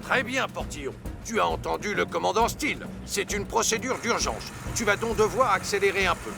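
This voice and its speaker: An actor voicing a fussy, bossy fire chief with a gravelly voice in a French cartoon dub. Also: male voice, high-pitched, expressive, normal speed. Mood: brisk, bossy. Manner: pompous, bossy. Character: fussy commanding adult male fire chief. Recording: music behind the voice.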